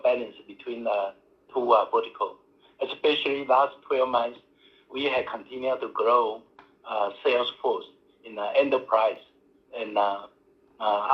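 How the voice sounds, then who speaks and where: Halting executive on a muffled line. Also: male voice, mid-range voice. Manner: halting, many fillers, non-native phrasing. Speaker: company executive answering analyst questions. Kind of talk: earnings call answers. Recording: muffled phone-line audio.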